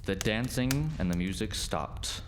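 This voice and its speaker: Male storyteller, deep pitch, scripted storybook narration, clearly noisy background. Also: animated, unhurried.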